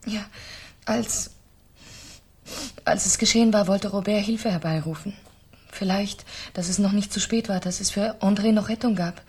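Husky, anguished wife. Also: female voice, medium pitch, steady tone, darkish tone. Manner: dramatic, halting, urgent. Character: anguished, pleading French wife confessing to police. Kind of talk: 1970s German radio crime drama acting.

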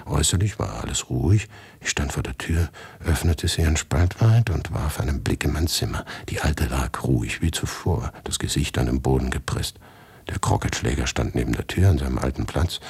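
A jumpy obsessive writer narrating dark radio drama, super deep, breathy rasp, tense and deliberate, half whispering. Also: male voice, no room sound, heavily acted. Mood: anxious, brooding. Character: anxious, obsessive male writer telling a macabre tale. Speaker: radio play narrator and lead actor. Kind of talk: dramatic first-person literary narration in a radio play.

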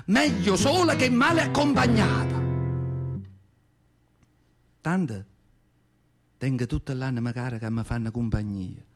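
High-voiced, feisty southern Italian old woman. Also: fairly bright tone, exaggerated voice. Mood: wistful, subdued. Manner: folksy, emphatic storytelling. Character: nearly hundred-year-old southern Italian peasant mother, plainspoken, feisty. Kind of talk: theatrical radio monologue in dialect.

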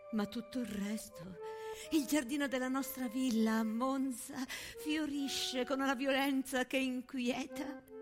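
Genteel, high-voiced lady diarist, played by an actress. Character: genteel young married lady reading her wartime diary, uneasy. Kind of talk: intimate theatrical monologue.

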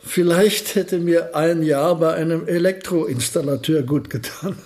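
A man acts out an elderly genius physicist for a radio play, his voice on the high side. He is courteous, absent-minded and a little self-satisfied, phrasing everything formally in a roomy space. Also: a little slow, darkish tone, lightly acted. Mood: courteous, absorbed. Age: older. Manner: polite, pedantic, formal phrasing. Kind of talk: German radio play acting.

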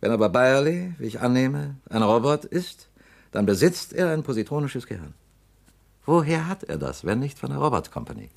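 A man's voice: medium pitch, big pitch swings, normal speed, creaky. An actor playing a scheming politician who presses his case with insinuation and veiled threats in a radio play. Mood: insistent. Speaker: politician confronting a company director. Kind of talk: radio drama acting.

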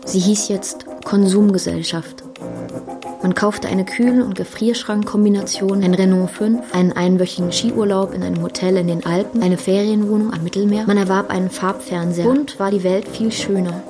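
A woman's voice: mid-range voice, steady tone, moderate pace, natural voice. A woman performing as a detached chronicler, reading articulate, matter-of-fact literary narration for a radio play. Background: music.

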